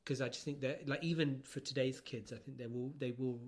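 A man's voice: medium pitch, fairly even intonation, brisk. A man with a British accent chatting casually as a podcast co-host, talkative and emphatic, with a teasing streak. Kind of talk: casual book chat.

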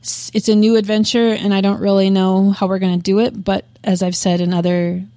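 A woman's voice: medium pitch, flat delivery, fairly quick, mellow, no room sound. A woman in her thirties, a podcast guest talking candidly and profanely, with lots of fillers and restarts. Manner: frank and profane, with frequent fillers. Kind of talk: candid casual interview chat.

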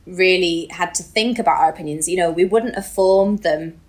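A woman's voice: on the low side, normal speed. A British woman co-hosting a podcast in a casual, chatty and informal way, with a giggly streak. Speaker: podcast co-host.

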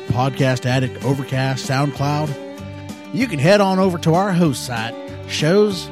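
Laid-back rambling male podcast host. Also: a little high. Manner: laid-back and rambling, with frequent fillers and chuckles. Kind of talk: casual solo podcast chat. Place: at home by an open window.

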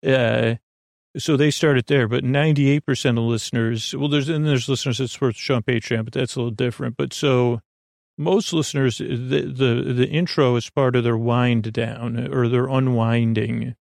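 Meandering man with a creaky voice. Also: mid-range voice, fairly even intonation, darkish tone, dry recording, studio quality. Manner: meandering, halting, trails off into tangents and self-questioning. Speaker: podcast host. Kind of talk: rambling monologue for a sleep podcast.

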